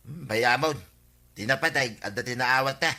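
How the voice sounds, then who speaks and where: Sharp, piercing Ilocano village schemer. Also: male voice, medium pitch, normal speed, gravelly. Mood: smug. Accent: Filipino, Ilocano. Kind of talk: radio comedy drama acting.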